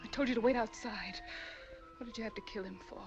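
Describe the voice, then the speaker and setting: An actress playing a ruthless scheming woman who scolds in sharp hissing whispers, in 1940s film style. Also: on the high side, fast talker, over music, natural voice. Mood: furious. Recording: dull lo-fi 1940s film sound.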